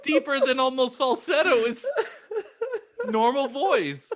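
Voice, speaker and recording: A man's voice: extremely high pitch, expressive, measured pace. A man co-hosting a comedy podcast, bantering casually with a defensive, joking exasperation. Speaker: podcast co-host voicing characters.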